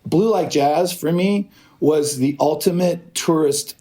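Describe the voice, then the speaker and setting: A man's voice: on the high side, slow pace. A man in his fifties from Oregon, a podcast guest telling stories in a loose, rambling way with many restarts. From Oregon, USA. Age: 50s. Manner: rambling, animated storytelling with restarts. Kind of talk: casual chat.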